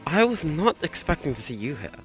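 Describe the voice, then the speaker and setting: A man's voice: on the high side, big pitch swings, a little fast, faint music behind. A man playing a jealous, hot-tempered young aristocrat in a radio play, blunt and irritable. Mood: curt.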